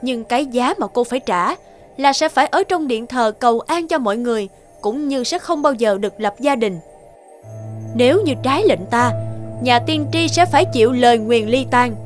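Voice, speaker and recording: An actress playing a solemn, commanding supreme goddess in an animated fairy tale. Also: female voice, on the high side, moderate pace, natural voice. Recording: music behind the voice.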